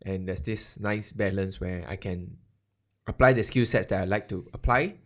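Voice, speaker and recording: Brief: thirties male podcast co-host, slightly low voice, loose thinking-out-loud delivery. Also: moderate pace. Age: 30s. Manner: rambling, reflective, ends phrases with lah. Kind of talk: casual chat.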